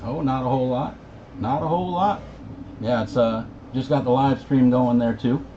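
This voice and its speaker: A man talks casually as a CB operator. His medium-pitched voice is dull and lo-fi, with plain static around it on the radio channel. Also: moderate pace. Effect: CB radio sound. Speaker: CB operator running a live stream. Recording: muffled, noisy CB radio capture.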